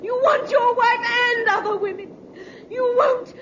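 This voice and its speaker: An actress playing a desperate spurned mistress in a 1950s radio drama, shrill and tearful, on a muffled old recording. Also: female voice, a little slow, fairly bright tone, slight background noise, full character voice. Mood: bitter, tearful. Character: desperate, weeping spurned mistress pleading with her lover.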